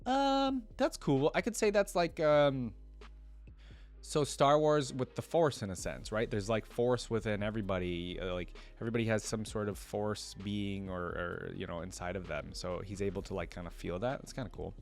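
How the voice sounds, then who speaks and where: A man hosting a reaction video, casually commenting, reading aloud and thinking out loud as he explains things. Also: fairly low pitch, normal speed, faint music behind.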